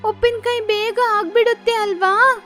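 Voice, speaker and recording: A woman plays a caring young sparrow daughter from a children's cartoon. The voice is extremely high and stays on an even, narrow line. It sounds earnest and reassuring, with music underneath. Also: moderate pace. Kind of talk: cartoon moral story dubbing.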